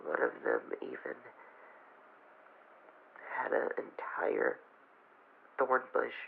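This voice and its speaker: A man playing a frightened young fox boy who records a halting, weary log in an audio drama. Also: medium pitch, big pitch swings, creaky, exaggerated voice. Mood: weary, grave. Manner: halting, hesitant, weary delivery. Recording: muffled noisy handheld radio recording.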